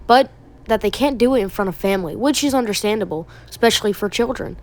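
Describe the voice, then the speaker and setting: A woman's voice: mid-range voice, fast talker, a little mellow. A woman hosting a video essay, giving explanatory commentary on a horror video.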